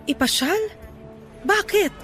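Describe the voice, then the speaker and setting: A woman's voice: very high voice, animated, slow, a bit bright, heavily acted. A woman with a Filipino accent playing a flustered, wary older former girlfriend in a radio drama. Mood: bewildered, wary. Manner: hesitant, exasperated. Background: music.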